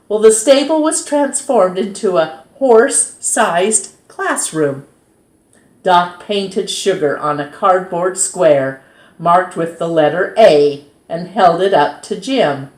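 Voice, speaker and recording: A woman reads a children's story aloud as a storytime host, in an expressive, measured way that dramatizes key words. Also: fairly low pitch. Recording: roomy, echoing sound.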